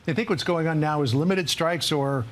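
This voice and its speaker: A man's voice: a little high, fairly quick. An American man working as a news anchor, reporting live and putting questions to a guest.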